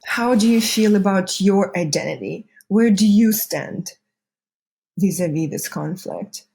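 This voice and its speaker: A woman's voice: medium pitch, unhurried, creaky, mellow. A woman hosting a conversational interview, putting questions to a guest. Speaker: interviewer, show host.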